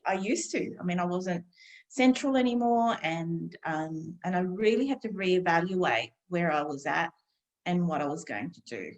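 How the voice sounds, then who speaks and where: A woman in her fifties, a podcast guest, speaking calmly and unhurriedly with many pauses. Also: lowish voice. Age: 50s. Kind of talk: reflective conversational interview.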